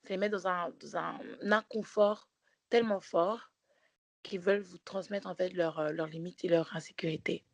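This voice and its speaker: Low-voiced woman telling a story. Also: steady tone, normal speed, no room sound. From Belgium. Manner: rambling storytelling with many restarts and fillers. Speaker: podcast co-host. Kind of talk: casual chat.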